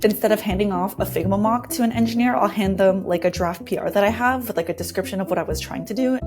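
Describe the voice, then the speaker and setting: A woman's voice: medium pitch, fast talker, a little mellow, soft background music. A woman with a mid-range voice talks as a guest in an interview. She explains her working process conversationally, with an enthusiastic manner. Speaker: interview guest, design lead.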